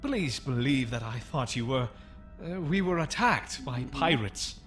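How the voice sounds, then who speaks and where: Man acting a nervous, halting, cowardly spacer. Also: mid-range voice, expressive, fairly bright tone. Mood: frightened, ashamed. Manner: hesitant, halting, apologetic. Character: nervous, apologetic, cowardly male freighter crewman. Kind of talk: sci-fi audio comic dub. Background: music.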